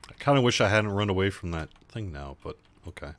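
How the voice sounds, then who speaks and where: Low hoarse man with a sore throat. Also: animated, dry recording. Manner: halting, many fillers and restarts, goes quiet. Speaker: livestream host for an online game. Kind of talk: casual live-stream chat.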